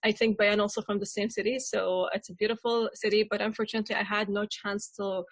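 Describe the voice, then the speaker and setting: A woman's voice: medium pitch, little pitch movement, fast talker, no echo. Young adult woman from Syria, panel speaker, hesitant personal storytelling, mid-range voice, very little room sound. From Syria, grew up in Aleppo. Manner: hesitant, repeats words as they go.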